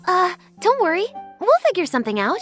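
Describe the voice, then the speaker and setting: A woman voicing a tiny, excitable, earnest restaurant helper in a video game. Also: very high-pitched, a little fast, exaggerated voice. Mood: eager, fretful. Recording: music under the voice.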